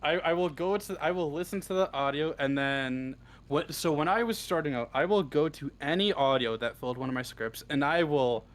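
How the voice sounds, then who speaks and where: A man's voice: fairly high pitch, moderate pace, hardly any pauses, a little hiss or hum. A man giving casual, unscripted answers as an interview guest, talking freely and swearing often. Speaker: interview guest, audio roleplay script writer.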